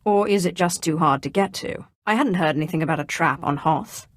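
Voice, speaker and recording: An actress playing a cold, commanding Sith Inquisitor in a video game, with an imperious, clipped and dryly sardonic delivery. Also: female voice, low-pitched, a little fast, dry recording, studio quality. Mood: cool, probing. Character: female Sith Inquisitor, cold, commanding, menacing young sorceress. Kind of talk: scripted video game dialogue.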